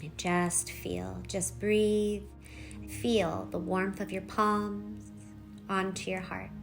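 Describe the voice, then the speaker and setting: A woman leading a guided meditation, giving soft instructions in short phrases with long pauses between them. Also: mid-range voice, flat delivery, gravelly. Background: background music.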